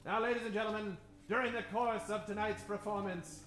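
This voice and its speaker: A man with a very high, forceful voice plays the showman announcer of an old-time radio adventure. His delivery is grand and theatrical, recorded on a roomy live stage with music faintly behind. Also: steady tone, fairly quick. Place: live stage before an audience.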